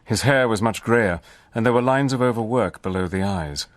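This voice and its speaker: Actor as a resolute army major narrating, brisk and soldierly, low voice. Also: male voice, no echo, close to an everyday voice. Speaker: narrator and lead. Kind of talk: first-person dramatic narration.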